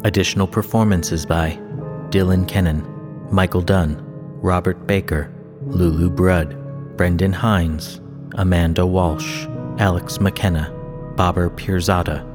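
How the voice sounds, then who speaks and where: Low-voiced man reading credits. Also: steady tone, a little slow, big-room sound, over music. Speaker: credits announcer.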